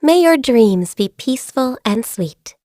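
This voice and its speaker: Casting: a high-voiced, shy, dutiful young game secretary, soft and earnest, dry studio read. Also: female voice, lively intonation, measured pace, no room sound. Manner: soft-spoken, earnest, a little hesitant. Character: gentle, shy, diligent young female secretary. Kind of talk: video game character voice lines. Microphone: close studio mic.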